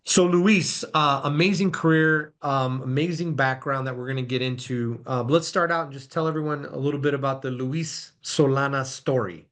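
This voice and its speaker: A man's voice: medium pitch, moderate pace, few pauses, fairly bright tone. A man hosting a podcast, opening the show and welcoming a guest in an effusive way, repeating words for emphasis. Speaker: podcast host. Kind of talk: podcast intro and interview.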